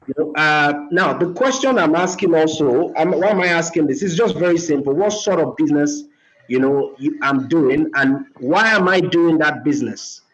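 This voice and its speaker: A man moderating a casual group discussion, measured and leaning on "you know" as he frames his questions. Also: a little high, animated, fairly bright tone, highs cut off.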